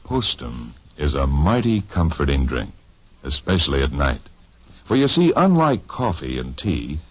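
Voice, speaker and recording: A man reads a 1950s radio commercial in a folksy, persuasive way. His voice is deep and husky, swinging widely in pitch, and the recording sounds muffled. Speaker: commercial announcer.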